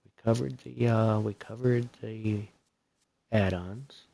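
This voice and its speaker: A soft-spoken man streaming a building game, talking in a casual, rambling way with lots of fillers as he walks through his build. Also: lowish voice, fairly even intonation, slow pace, gravelly.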